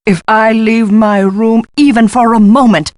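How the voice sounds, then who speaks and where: An actress playing an eccentric, excitable, absent-minded woman professor in a video game, with a flighty, pompous and exclamatory delivery. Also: fairly high pitch, measured pace, no room sound, studio quality, lightly acted. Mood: grandiose, confiding. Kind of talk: theatrical video game voice acting.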